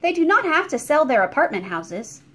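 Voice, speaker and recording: A woman's voice: high voice, very animated, normal speed, a bit bright, voice pushed up. A woman voicing a polite, earnest young newcomer who speaks in careful, formal sentences.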